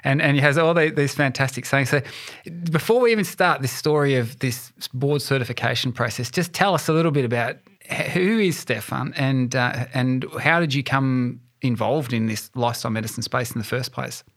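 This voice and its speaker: A man's voice: mid-range voice, brisk, a bit bright. A man co-hosting an interview, speaking in a casual, jokey, conversational way. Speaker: interviewer, co-host.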